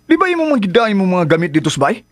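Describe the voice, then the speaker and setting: A man's voice: sits high, big pitch swings, rapid-fire. An actor playing a cornered man pressured by his lover, exasperated and pleading in radio drama style.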